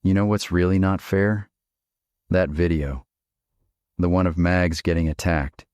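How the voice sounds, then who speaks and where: A man playing a steely middle-aged father, a ghost in an audio drama, speaking in a measured, admonishing way. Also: low voice, fairly even intonation, darkish tone, smooth, no room sound. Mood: stern, accusing. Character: hallucinated ghost of a father, steely, confrontational but gentle.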